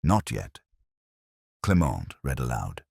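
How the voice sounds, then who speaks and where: A man's voice: very deep voice, very animated, slow, creaky, a little mellow, slightly rough, no echo, studio quality. A man narrating a scripted mystery story.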